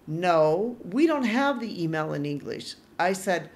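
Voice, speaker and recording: A woman's voice: on the low side, measured pace. Woman podcast host telling a story. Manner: animated, exasperated retelling with quoted dialogue. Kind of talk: casual solo podcast storytelling.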